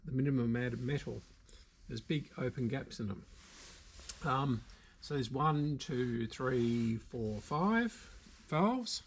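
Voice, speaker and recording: An Australian man talks through a repair job in a casual, unscripted way. His pace is slow and halting, as if working things out while he speaks. The pitch sits in an ordinary middle range for a man. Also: lively intonation, gravelly, a little hiss or hum. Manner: unscripted, halting, thinking aloud. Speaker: video host, radio repairer. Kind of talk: casual hands-on repair commentary. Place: indoor workshop.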